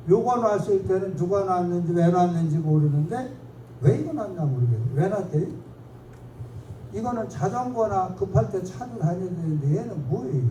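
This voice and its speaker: A man's voice: sits high, warm tone. A man giving a local history lecture in a chatty, digressive, self-mocking way, pointing at slides as he goes. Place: lecture hall. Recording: echoey hall from far off, faint noise.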